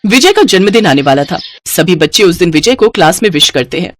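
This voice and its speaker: A woman's voice: on the low side, lively intonation, brisk. A woman reading scripted moral-story narration as the storyteller.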